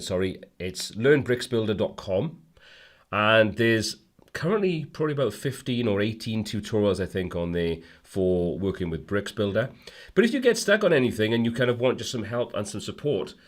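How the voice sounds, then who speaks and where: A man with a cold, a bit hoarse, hosting a casual livestream and rambling through viewer comments with lots of fillers. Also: mid-range voice. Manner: rambling, friendly, many fillers and restarts. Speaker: livestream host.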